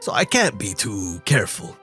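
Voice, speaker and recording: An actor playing a wry, guarded crime boss in a detective radio drama. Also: male voice, on the low side, big pitch swings, moderate pace, pushed and bright, faint music behind. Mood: coolly commanding.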